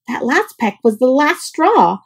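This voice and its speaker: High, lively woman reading a storybook. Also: unhurried, slightly rough. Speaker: storybook reader. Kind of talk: expressive children's read-aloud narration.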